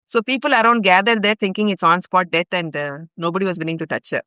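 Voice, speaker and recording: A woman's voice: lowish voice, steady tone, quick pace, pushed and bright, smooth, no echo. A middle-aged woman from India with an Indian English accent, earnestly telling a long personal story as a podcast guest. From India (grew up in Bhopal). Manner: earnest, recounts events in long chains with fillers. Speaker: guest, longtime ashram volunteer.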